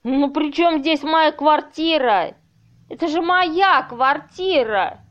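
A woman's voice: high voice, slow pace, a bit bright, dull audio. An amateur actress playing a trusting, distraught woman in an audio play. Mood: bewildered, anxious. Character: Veronika, distraught trusting woman losing her flat.

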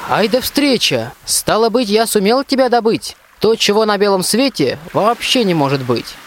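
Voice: mid-range voice, sing-song, moderate pace, natural voice. A performer playing a plucky, friendly soldier hero in a rhymed radio play, declaiming heartily. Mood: hearty, persuasive. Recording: faint watery ambient noise.

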